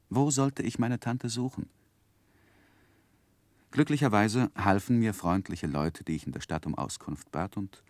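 An earnest narrator looking back on his boyhood in a 1960s radio drama. The voice is very low yet moves expressively, with measured, literary phrasing and a close, dry sound. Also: darkish tone, dry recording. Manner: measured, reflective, literary storytelling. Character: earnest grown man narrating his own boyhood memoir.

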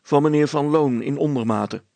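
A man voicing a stranded father in an audiobook story, loud, urgent and curt as he calls out. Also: on the high side, brisk, creaky, dry recording, pitched above the performer's own voice. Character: stranded father Brilstra, shouting evasively for help.

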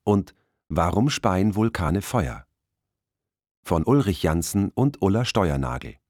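A man's voice: sits low, sing-song, slow pace, darkish tone, dry recording, studio quality. A man reading a scripted announcement of audiobook credits.

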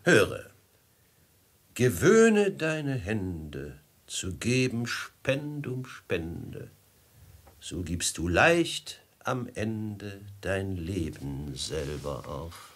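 A man's voice: deep voice, big pitch swings, extremely slow, slightly rough, heavily acted. An actor playing a cunning, mock-courteous desert bandit chief in a German radio play, theatrical and savouring his verses. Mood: smug, courteous. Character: cunning silky-tongued male desert bandit chief posing as host.

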